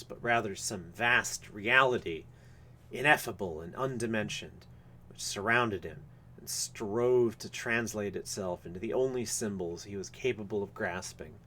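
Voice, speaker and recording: A man reading a literary horror story aloud live, with measured, formal and dramatic phrasing. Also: lowish voice, animated, sharp tone, a little hiss or hum. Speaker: reader of a story.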